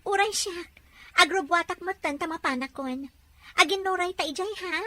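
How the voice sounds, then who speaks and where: A woman's voice: very high-pitched, moderate pace, sharp tone, no room sound. A woman playing a scheming young woman who dreams of America, in a dialogue drama. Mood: apologetic, coaxing. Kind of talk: acted Ilocano radio-style drama.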